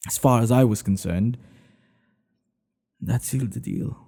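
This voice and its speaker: Guy playing devoted secret-lover king, asmr roleplay, slow and hushed, very mellow, big reverb. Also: medium pitch. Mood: tender, confiding. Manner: hushed, earnest, halting delivery with sighs. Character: tender, devoted male monarch hiding a secret lover. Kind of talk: intimate ASMR boyfriend roleplay acting.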